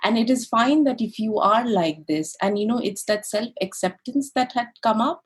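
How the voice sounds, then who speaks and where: Reflective woman with slightly husky voice. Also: on the high side, animated, moderate pace. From India and Greece. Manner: reflective, with frequent "you know". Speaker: interview guest. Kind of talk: conversational personal storytelling.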